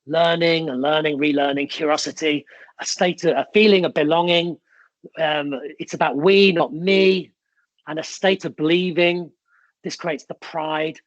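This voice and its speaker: Man, raised in the UK with Italian as first language; high-leaning, dry-miked motivational keynote speaker who tells stories with emphasis. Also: no room sound, muffled recording. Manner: emphatic, storytelling, punchy short phrases.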